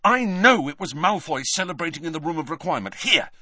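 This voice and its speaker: A man voicing an urgent, stubborn teenage wizard boy in an audiobook, hurried and insistent. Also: on the high side, very animated, sharp tone, no echo, studio quality, voice pushed up, exaggerated voice. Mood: angry, urgent. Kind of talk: dramatised audiobook reading.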